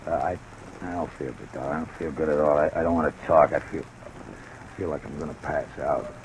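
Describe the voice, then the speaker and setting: A man playing a wounded, evasive man who is weak and faint, speaking haltingly in a 1950s radio drama. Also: very deep voice, steady tone, low-quality recording. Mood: pained, fading. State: weak from feigned injury. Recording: hissy old radio recording.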